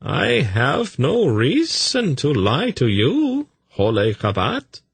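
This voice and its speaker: A man voicing an unflappable, courteous, evasive slave trader in a fantasy audiobook, speaking with measured, placating deference. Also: medium pitch, huge pitch swings, creaky, husky, no echo, pitched above the performer's own voice, heavily acted. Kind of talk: fantasy audiobook character acting.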